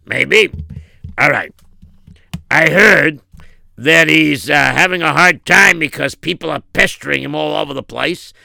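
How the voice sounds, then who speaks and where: A man voicing a muscular, earnest bodyguard who speaks bluntly and haltingly in a comic story. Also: fairly high pitch, vocal fry, bright, forceful tone, no room sound, pitched above the performer's own voice, full character voice. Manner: blunt, hesitant, plain-spoken.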